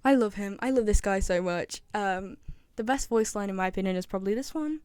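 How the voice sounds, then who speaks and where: A woman's voice: medium pitch, a little fast, a little mellow, clear, resonant tone, no room sound, studio quality. A woman presenting casual fan commentary in an enthusiastic way, repeating phrases for emphasis. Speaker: video presenter.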